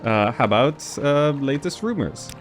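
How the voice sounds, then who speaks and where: A man's voice: mid-range voice, expressive, a little slow, soft background music. A man giving casual gameplay commentary, thinking aloud in a hesitant way as he goes. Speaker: gamer presenting a game mod.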